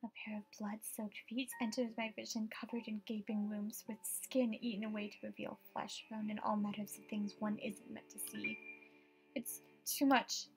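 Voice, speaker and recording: A woman's voice: medium pitch, flat delivery, normal speed, music in the background, lightly acted, poor audio. A woman voicing a frightened, superstitious young estate agent in a horror game, with a dramatic, tremulous delivery. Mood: terrified, praying. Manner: dramatic, tremulous, breathy asides, outbursts. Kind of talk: horror visual novel voice acting.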